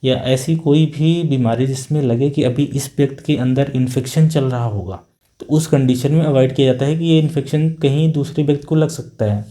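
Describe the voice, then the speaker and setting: Man, doctor, middle-aged, warm mellow voice, calm patient explainer, plain-spoken. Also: medium pitch, moderate pace. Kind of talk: explanatory health talk.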